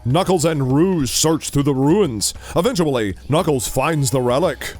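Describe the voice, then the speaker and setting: A man's voice: fairly high pitch, expressive, unhurried, darkish tone, soft background music. A man reading scripted narration for an audio drama as its narrator.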